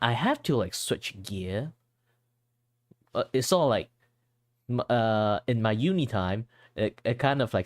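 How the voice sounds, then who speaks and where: Low-voiced young Malaysian streamer. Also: male voice, no room sound. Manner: rambling and chatty, with many fillers and restarts; switches at will into Malaysian English. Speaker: art streamer. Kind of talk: casual chat while drawing on stream.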